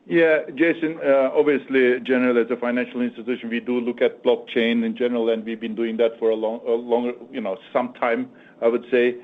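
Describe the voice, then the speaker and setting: A man speaking as a chief executive on an earnings call, answering in halting, repetitive phrases. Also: medium pitch, level tone. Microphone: phone conference call. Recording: thin, narrowband conference phone line.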